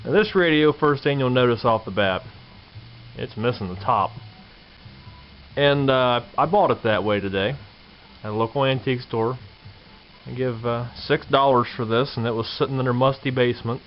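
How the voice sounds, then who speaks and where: A man's voice: mid-range voice, moderate pace, long pauses. Folksy man, dull lo-fi recording. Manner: folksy, colloquial, talks through details step by step. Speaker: vintage radio collector presenting a find. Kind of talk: casual unscripted show-and-tell narration. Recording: dull lo-fi camera sound, background noise.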